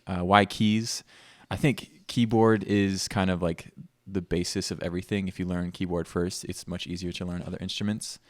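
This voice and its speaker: A man in his twenties from Minnesota, chatting casually in an interview, relaxed and thoughtful with frequent fillers. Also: low voice, no room sound, studio quality. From Minnesota, USA. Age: 20s. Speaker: pianist guest.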